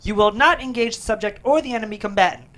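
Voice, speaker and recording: Woman playing military commander, fast pace, highish pitch, stern, clipped, authoritative, dramatic read. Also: fairly bright tone, close to an everyday voice. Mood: stern, commanding. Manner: clipped, commanding delivery of orders. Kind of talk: dramatic script table read.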